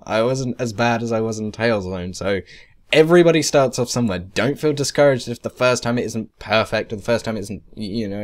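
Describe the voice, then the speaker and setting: A man narrating a software tutorial casually, in a rambling, hesitant, self-deprecating way. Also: medium pitch. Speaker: tutorial presenter. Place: indoors, screen-recording voice-over.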